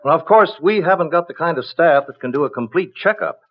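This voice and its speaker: Conversational, businesslike parole officer, raised pitch; dull vintage radio drama recording. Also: male voice, expressive, fairly quick. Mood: matter-of-fact. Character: helpful, matter-of-fact male parole officer reporting. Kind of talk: 1950s radio crime drama dialogue.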